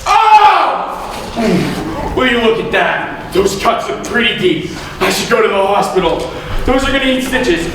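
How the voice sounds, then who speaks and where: A male actor in a school stage comedy playing a shady, flustered, smooth-talking therapist with a glib, sarcastic manner. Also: high voice, big pitch swings, unhurried. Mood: panicked. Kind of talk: live amateur stage comedy acting. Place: school stage, recorded from the audience. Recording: echoey hall, distant mic, noisy audience room.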